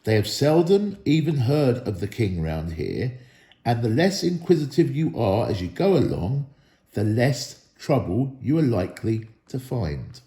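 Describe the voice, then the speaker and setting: A man's voice: medium pitch, sing-song, a little slow, warm tone, echoey room. A man voicing wary, grumbling dwarves from a storybook in a cautionary, complaining way. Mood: wary warning. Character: wary, grumbling male dwarves of a travelling band.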